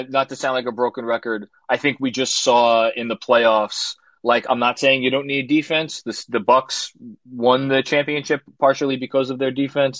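A middle-aged man hosting a live call-in sports talk show. He speaks quickly and rattles off statistics while staying friendly with callers. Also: mid-range voice, fairly bright tone, no echo, lo-fi sound. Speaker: host.

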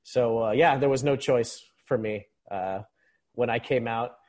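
A man's voice: mid-range voice, measured pace, darkish tone. A man in his thirties from the Philippines, talking casually about sports as a podcast guest. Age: 30s. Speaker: podcast guest, football supporters' club member. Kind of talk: casual conversational sports commentary.

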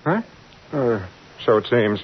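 A man's voice: mid-range voice, lively intonation, takes it slowly, slightly rough. A man playing a flustered, henpecked, lovesick doctor in an old-time radio drama, hesitant and blustering. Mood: flustered, evasive. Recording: dull lo-fi vintage radio recording.

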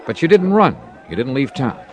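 A man's voice: medium pitch, big pitch swings, moderate pace, a little hiss or hum. A man narrating and playing a hard-boiled insurance detective in a 1950s radio drama, terse and matter-of-fact. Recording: old radio broadcast with music.

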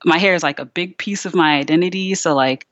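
A young woman from Virginia chatting candidly as a podcast guest, peppering her talk with "like" and quick restarts. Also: lowish voice, fairly bright tone, no room sound. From Richmond, Virginia, USA. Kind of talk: casual interview conversation. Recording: slightly dull, lo-fi sound.

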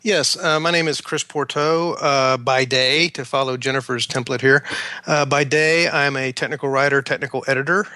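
A man speaking as an author guest in a casual interview, explaining things in halting phrases. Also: mid-range voice, fairly even intonation, bright, forceful tone, no room sound.

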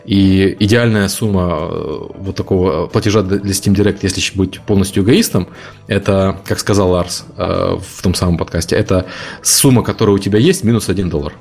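A man from Ukraine co-hosting a podcast, explaining his points in long, rapid run-on stretches of casual talk. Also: low-pitched, vocal fry, darkish tone, faint music behind. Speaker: podcast co-host.

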